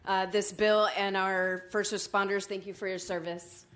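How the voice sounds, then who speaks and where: A woman's voice: mid-range voice, little pitch movement, normal speed, a bit bright. A woman giving a short, formal statement on behalf of an organization at a committee hearing. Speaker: public commenter for an organization.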